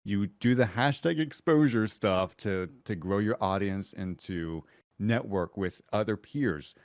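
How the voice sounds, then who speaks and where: A man's voice: on the low side, measured pace, dry recording, studio quality. A man in a casual panel chat giving earnest, explanatory advice as a voice actor and podcast guest.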